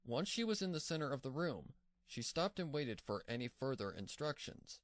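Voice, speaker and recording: A man narrates a story from a script in a medium-pitched voice. The recording is dry, with very little room sound. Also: very animated, moderate pace, no echo. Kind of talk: scripted fan-fiction narration.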